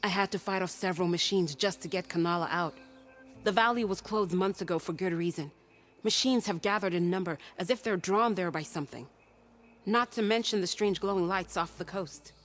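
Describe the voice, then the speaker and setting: A woman's voice: fairly low pitch, a little fast, soft background music, lightly acted. Woman playing a battle-hardened game marshal. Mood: firm, respectful. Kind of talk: video game cutscene acting.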